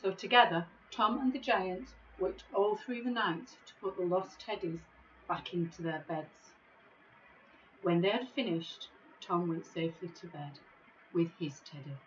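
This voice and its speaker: A middle-aged woman reading a children's storybook in a measured, expressive way, recorded on a device microphone in an office. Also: fairly low pitch, lo-fi sound, slight background noise. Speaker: school staff member reading a bedtime story. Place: small office, indoors.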